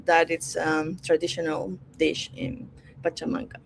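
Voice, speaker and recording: A woman's voice: low-pitched, little pitch movement, a little slow, vocal fry, slight background noise, poor audio. A woman from Peru, a Spanish speaker, co-hosting a live Q&A in a cheerful, giggly way. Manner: cheerful, giggly, warm greetings. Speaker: co-host, marketing staff. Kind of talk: casual livestream Q&A chat.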